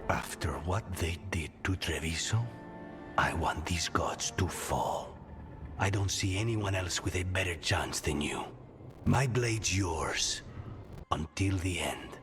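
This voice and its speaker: A man playing a grim, loyal assassin in a fantasy game, restrained, with a breathy edge. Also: low voice, slow, music in the background. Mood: grim, brooding. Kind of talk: dramatic video game acting.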